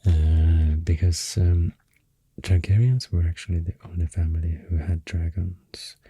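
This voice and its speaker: A man with a Swedish accent doing soft-spoken ASMR, half-whispering in a hesitant, unhurried ramble close to the microphone. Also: low voice, little pitch movement, husky, dry recording. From Sweden. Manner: hesitant, unhurried, halting rambling in short phrases. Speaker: ASMR creator and host. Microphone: close, dry microphone.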